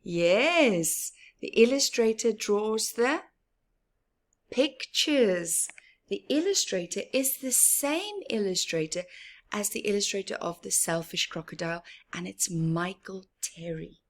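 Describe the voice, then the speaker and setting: A woman from Africa reads a story aloud for small children. She goes very slowly, stressing words and explaining them like a teacher. Her middle-pitched voice is breathy and often sinks to a near-whisper. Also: expressive. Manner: teacherly, emphatic, asking questions and explaining words. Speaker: children's storytime host and reader.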